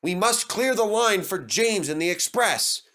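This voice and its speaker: Man as brusque, high-pitched signalman. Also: expressive, unhurried, bright, forceful tone, heavily acted. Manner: curt, insistent. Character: brusque, stubborn male railway signalman.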